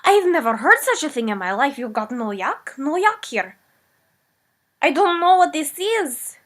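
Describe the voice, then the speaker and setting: A woman playing a rambling immigrant woman from the old country, talking in broken English with a meandering, deadpan comic manner. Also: fairly high pitch, normal speed. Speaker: comedic character. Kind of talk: improvised comic character monologue.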